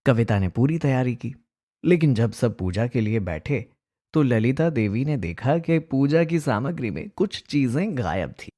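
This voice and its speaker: Slow, mellow male story narrator. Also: medium pitch, very animated.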